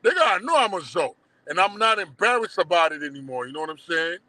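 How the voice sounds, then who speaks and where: A middle-aged man with a Haitian accent, guest on a casual livestream interview, telling animated stories full of slang and swearing. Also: high voice, fast, no room sound. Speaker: guest, documentary producer.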